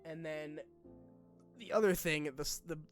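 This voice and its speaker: A young man talking casually and unscripted like a YouTuber to followers, candid, self-deprecating and halting, with informal phrasing. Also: fairly high pitch, faint music behind. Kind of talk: casual unscripted vlog talk.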